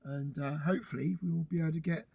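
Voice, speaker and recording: Male co-host with a cold, raspy and gravelly, relaxed rambling chat outdoors. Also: a little high, fast, dry recording. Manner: relaxed, rambling, pointing out sights. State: has a cold. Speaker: podcast co-host. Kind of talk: casual chat on location. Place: outdoors by the sea on a windy day.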